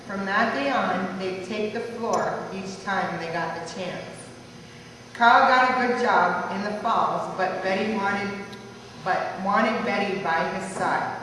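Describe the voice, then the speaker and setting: Woman, medium pitch, rhyming tribute reading, very echoey hall, audible background noise. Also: takes it slowly, fairly bright tone, recorded from a distance. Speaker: family member reading a verse tribute. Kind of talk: reading rhyming life story aloud. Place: large echoey room at a party gathering.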